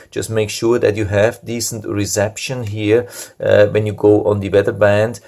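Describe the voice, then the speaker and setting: A man's voice: fairly low pitch, gravelly, darkish tone. A man giving a casual product review, explaining the features of a device step by step in a plain, matter-of-fact way.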